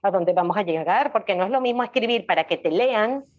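A woman's voice: mid-range voice, expressive, fairly quick, highs cut off. A woman with a Latin American Spanish accent explaining things casually and emphatically as an interview guest, often repeating phrases and checking she is understood. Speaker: podcast guest, audio fiction writer.

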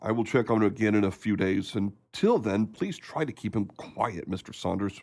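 A man acting in a radio play as a patient, sensible family doctor with a formal, measured manner. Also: lowish voice, noisy background, close to an everyday voice. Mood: concerned, resigned.

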